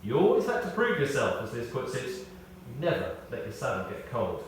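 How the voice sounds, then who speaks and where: A man reading a prepared tribute aloud in short, measured phrases, with wry storytelling. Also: mid-range voice, wildly swooping pitch. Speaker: tribute speaker. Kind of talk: read-out memorial tribute with anecdotes. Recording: echoey hall, distant, faint noise.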